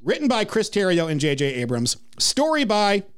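A man's voice: sits high, wildly swooping pitch, moderate pace, smooth. A man hosting a movie review podcast, teasing his co-host and rattling off lists.

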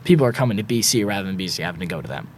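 A young man with a slightly raspy voice, talking in a quick, rambling way as a podcast guest. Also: low voice, expressive, a little hiss or hum. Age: young adult. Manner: quick, rambling, stacks filler words. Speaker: guest, student sports broadcaster. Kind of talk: casual podcast chat.

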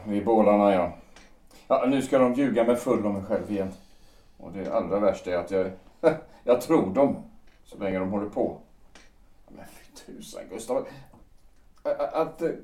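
Give the part of a cynical, vain man of letters in a period radio play, acted by a man. His voice is low and mellow, with wry, musing delivery. It sounds set back from the microphone. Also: long pauses, lightly acted. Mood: sardonic. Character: cynical, vain, world-weary male playwright, teasing about love. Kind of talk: period stage play as audio drama. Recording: roomy echo, distant from the mic.

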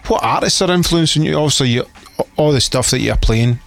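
A man's voice: on the high side, brisk, creaky. A man hosting an interview, friendly and prompting his guest with questions and short agreements. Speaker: radio host. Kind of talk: casual interview chat.

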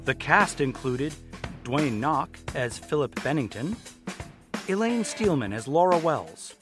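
A man's voice: fairly high pitch, lively intonation, takes it slowly. A man announcing a radio drama in a theatrical, playful manner, reading scripted narration. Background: music.

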